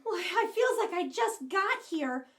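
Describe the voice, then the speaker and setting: A woman voicing a small, shy but excitable puppet character in a children's show, gushing and earnest. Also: very high voice, a little slow, heavily acted. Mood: excited, wistful.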